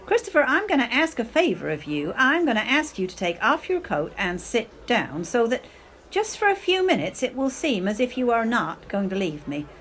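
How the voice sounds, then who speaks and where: A woman's voice: on the high side, very animated, moderate pace, a bit bright, a little hiss or hum. A woman acting a melodramatic, self-pitying, clinging middle-aged mother in a radio play, with theatrical, affected speech. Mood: wounded, pleading. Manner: theatrical, affected, sprinkles in foreign phrases.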